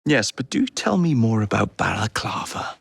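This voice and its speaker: An actor voicing a cocky, wisecracking young English assassin and gang leader in a video game, flippant and sardonic. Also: male voice, fairly low pitch, big pitch swings, normal speed, gravelly, breathy edge, no room sound, full character voice. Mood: brisk, purposeful. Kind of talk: video game character dialogue.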